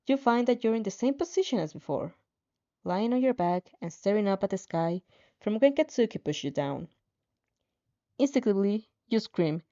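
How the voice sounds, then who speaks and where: Woman reading fanfic out loud, dramatic, very mellow warm tone, bit muffled lo-fi mic. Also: medium pitch. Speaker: fan fiction narrator. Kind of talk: dramatic second-person story reading.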